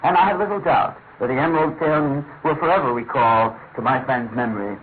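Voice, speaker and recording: A man playing a genteel English gentleman who narrates a radio drama in a measured, formal storytelling manner with a British accent. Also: medium pitch, lightly acted. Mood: reflective. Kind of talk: scripted first-person radio drama narration. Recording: tinny, narrow-band old radio, faint hiss.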